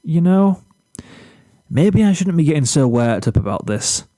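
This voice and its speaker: Casting: cocky, clueless high school boy for an audio story, played by an adult man, higher-pitched, smug, full of complaint. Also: big pitch swings, a little slow, mellow, no echo, studio quality. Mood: earnest. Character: cocky, oblivious, self-pitying teenage boy, whiny would-be charmer.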